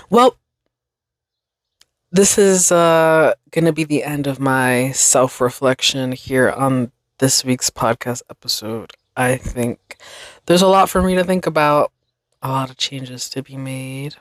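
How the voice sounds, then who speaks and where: Slow, reflective young woman. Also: a bass voice, no room sound. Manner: slow, reflective, rambling with fillers. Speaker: podcast host. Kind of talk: casual solo podcast monologue.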